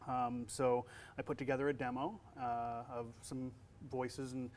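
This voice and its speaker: A man who works as a voice actor and college instructor, answering interview questions in a relaxed way, with a young-sounding voice and many fillers and restarts. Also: medium pitch, a little slow, slight background noise.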